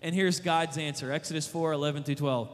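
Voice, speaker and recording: High-voiced preacher man in a reverberant hall. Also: fairly even intonation, brisk. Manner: emphatic, repeats rhetorical questions, voices Moses and God in paraphrase. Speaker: youth preacher. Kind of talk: sermon.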